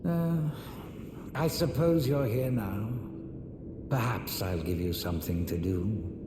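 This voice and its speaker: An actor playing a haughty, condescending, scheming sorcerer and puppeteer in a fantasy video game, with pompous, sneering, theatrical phrasing. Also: male voice, fairly low pitch, slow, noisy background. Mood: curt, condescending. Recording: echoey hall reverb under loud music.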